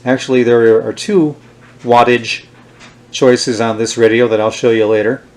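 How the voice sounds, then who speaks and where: A man presenting an unscripted show-and-tell demonstration in a halting, unhurried way. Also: mid-range voice, flat delivery. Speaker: presenter showing vintage radio gear.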